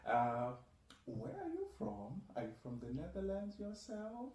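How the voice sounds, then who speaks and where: A man's voice: high-pitched, wildly swooping pitch, unhurried, darkish tone. A man hosting a podcast, interviewing guests in a conversational style. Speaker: podcast host and journalist. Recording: echoey room, distant mic, faint noise.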